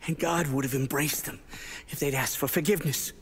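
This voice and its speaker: An actor playing a solemn, righteous priest who speaks like an orator in a dramatic video game. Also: male voice, fairly high pitch, steady tone, fairly quick, a little hiss or hum, lightly acted. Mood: outraged. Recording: long church reverb, music behind.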